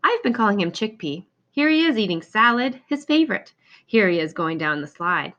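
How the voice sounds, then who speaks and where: Lady doing a gentle lonely cabbie guy from a storybook, earnest, bit lo-fi. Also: medium pitch, big pitch swings, normal speed. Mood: earnest, fond. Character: gentle, lonely immigrant male cab driver, kindly.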